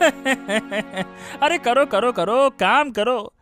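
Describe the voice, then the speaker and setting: Man as a sneering, very high-pitched village minister. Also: fairly bright tone, heavily acted. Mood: mocking, taunting. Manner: taunting, drawn-out questioning tags. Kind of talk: Hindi cartoon moral-story dialogue. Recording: music bed under the voice.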